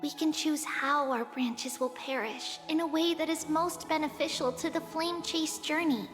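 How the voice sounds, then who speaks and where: A woman voicing a gentle, childlike girl in a video game who speaks softly and earnestly with wisdom beyond her years. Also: high-pitched, normal speed. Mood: solemn resignation. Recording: long reverb, faint music behind.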